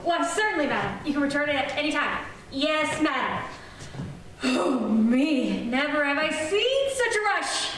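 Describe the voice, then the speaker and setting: A distant, heavily echoing recording of a woman playing a fussy store floor walker. Her high voice swoops through slow, scolding exclamations in an old-time radio comedy manner. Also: sing-song, fairly bright tone, exaggerated voice. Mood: flustered, appalled. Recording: distant, very echoey room with hiss.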